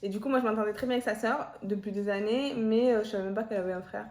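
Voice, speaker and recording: A woman's voice: mid-range voice, racing, darkish tone. A woman, chatting casually and playfully as she hosts a couple's Q&A vlog. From Marseille, France. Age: 20s. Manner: chatty, giggly, teasing. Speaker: vlog host, half of a couple channel. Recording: echoey room.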